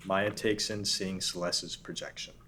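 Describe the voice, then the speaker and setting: A man's voice: deep voice, fairly even intonation, unhurried, bright, forceful tone, a little hiss or hum. A man narrating a script at a table read, reading the stage directions plainly.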